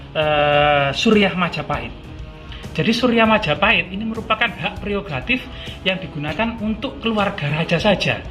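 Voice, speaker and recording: A man explaining local history outdoors, in a measured way with frequent fillers. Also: on the high side. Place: outdoors at an old graveyard. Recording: music bed under the talk.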